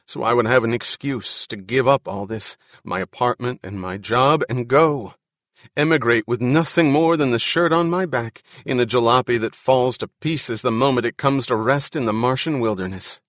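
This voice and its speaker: A man's voice: medium pitch, moderate pace, a bit bright, no echo, studio quality, natural voice. A man voicing an anxious, defeated man in a storybook reading who longs to escape his life. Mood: yearning.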